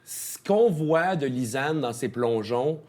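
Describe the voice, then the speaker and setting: A man's voice: mid-range voice, expressive, slow pace, darkish tone. A man with a Québécois French accent, a guest in a casual studio chat, talking in an easygoing, drawling way.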